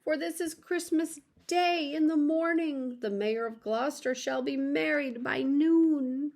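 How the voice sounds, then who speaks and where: A woman's voice: high-pitched, a little slow, a little mellow, voice pushed up. A woman voicing a poor old male tailor, worn out and lamenting, in a storybook read-aloud. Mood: weary lament. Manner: weary, lamenting.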